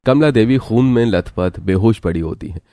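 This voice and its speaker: A man's voice: lowish voice, animated, normal speed, dark tone, no room sound. A man reading scripted story narration as the narrator.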